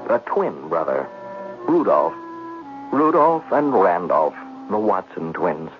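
An actor playing an anxious, scheming gambler in a 1970s radio drama, dry and theatrical, pleading at times. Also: male voice, sits low, sing-song, slow pace, heavily acted. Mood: dry, bitter. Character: anxious, scheming twin brother deep in gambling debt. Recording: muffled vintage radio broadcast, music.

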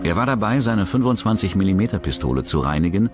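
Very deep male documentary narrator over music. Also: sing-song, fast. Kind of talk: scripted true-crime documentary narration. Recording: dramatic music bed under the voice. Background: background music.

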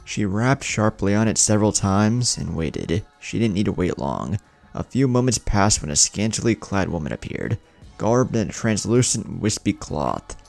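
A man with a low, creaky voice reads a scripted story as a dramatic narrator. His pitch moves up and down in an animated way, with soft music underneath. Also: normal speed.

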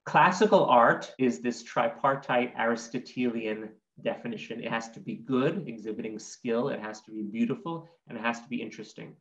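A man's voice: mid-range voice, moderate pace, few pauses, a bit bright. A man in his forties explains things articulately and didactically, as a guest in an interview. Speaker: guest art historian. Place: home room with bookshelves. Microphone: computer microphone on video call. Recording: dull, echoey video call audio.

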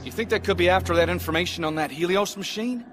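An actor playing a grumpy, sardonic starship doctor in a sci-fi game, gruff and wry. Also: male voice, fast, fairly bright tone. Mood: concerned. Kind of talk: scripted video game dialogue. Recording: music and engine rumble behind.

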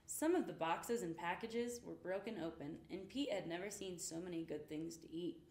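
A young woman reading a children's picture book aloud in an expressive storytelling way. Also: lowish voice, normal speed. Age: young adult. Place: indoor room with painted rainbow backdrop. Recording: roomy, echoing indoor sound.

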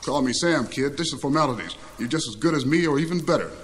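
A man's voice: mid-range voice, lively intonation, fast talker, a bit bright, natural voice. A man voicing a sickly, boastful old tyrant in a satirical story, chummy and slangy. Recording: clearly noisy recording.

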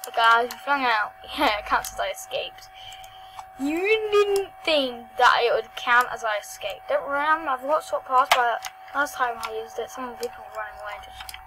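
A female host giving excitable, rambling gameplay commentary over a screen recording, often trailing off into mumbles. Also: a little high, normal speed, music in the background, low-quality recording. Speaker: gaming channel host. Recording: steady hum behind the voice.

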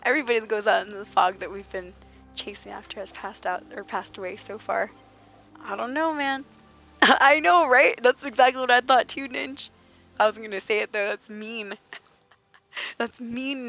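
A woman streaming a game, slightly raspy and under the weather, chatting playfully with viewers and joking in mock outrage. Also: on the high side, steady tone, moderate pace, fairly bright tone. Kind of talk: casual gaming chat with viewers.

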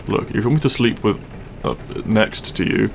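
A man playing a grumpy but tender half-dragon roommate in an intimate ASMR roleplay, gruff, sleepy and halting in a soft voice. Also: on the low side, background noise. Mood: grumpy, reluctant. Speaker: roleplay voice actor. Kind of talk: intimate ASMR audio roleplay, comfort scene.

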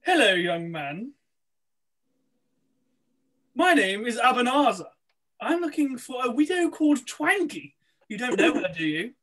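Male panto sorcerer, scheming, boastful, cackling, very animated, piercing tone. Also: mid-range voice, unhurried, long pauses. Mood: sly, coaxing. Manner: theatrical, cackling, gloating. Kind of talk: amateur pantomime read-through. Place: small room, on a video call. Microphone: computer mic on video call.

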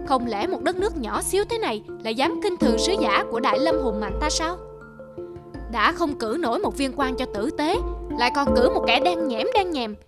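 A woman voicing an arrogant, sneering male envoy in a cartoon folktale, haughty and mocking. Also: high voice. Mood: scornful.

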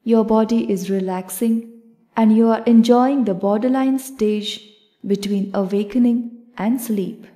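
Casting: female yoga nidra guide, calm and measured, unhurried delivery, soft-edged relaxed tone. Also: fairly high pitch. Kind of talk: guided meditation narration.

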